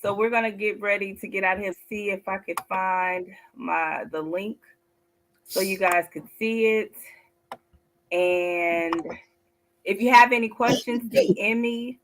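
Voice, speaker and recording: A woman co-hosting a casual sports talk show, chatty and quick to laugh. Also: medium pitch, steady tone, slight background noise. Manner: chatty, laughs often, drawn-out asides. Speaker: co-host.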